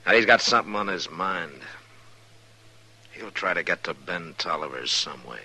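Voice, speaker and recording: A man playing an easygoing but wary frontier marshal in a radio western, deep-voiced, with a halting, understated delivery. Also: lively intonation, noisy background. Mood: brooding. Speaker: lead actor and narrator. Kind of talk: 1950s radio western acting.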